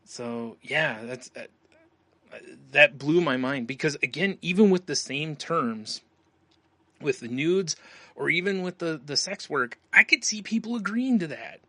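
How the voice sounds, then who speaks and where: A man with a bright, forward voice chats casually as a podcast co-host. He talks in slang and slips into short mock dialogue as he riffs. The sound is close and dry. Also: on the high side, lively intonation, a little slow, no room sound. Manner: slangy, riffing with acted-out quotes. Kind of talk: casual comedic chat.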